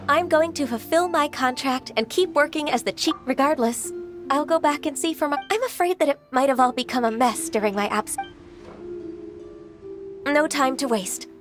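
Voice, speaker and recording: Lady doing a soft-mannered anime game secretary, kinda high, very proper n dutiful, music under it. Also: lightly acted. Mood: earnest resolve. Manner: polite, measured, formal. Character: gentle, dutiful, formal ancient female secretary.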